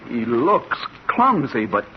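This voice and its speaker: An actor playing a curious, easily astonished doctor in a vintage radio drama, with a hesitant, wondering manner. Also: male voice, medium pitch, very animated, slow. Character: curious, astonished male doctor visiting his old professor. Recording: muffled, hissy old radio recording.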